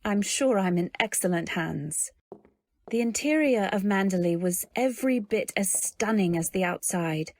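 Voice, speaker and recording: A woman playing a dreamy, naive young newlywed, narrating in measured phrases in an old-time radio drama style. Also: medium pitch, lively intonation, no echo. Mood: uneasy, polite. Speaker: narrator and lead. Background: faint music.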